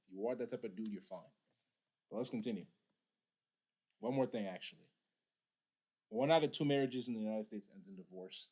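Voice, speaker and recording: A man with a fairly low voice, talking straight to his audience in a preachy, casual reaction commentary. His first language is Igbo, and he keeps checking in with listeners as he makes his points. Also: a little mellow. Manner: preachy, addresses viewers directly. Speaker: reaction streamer.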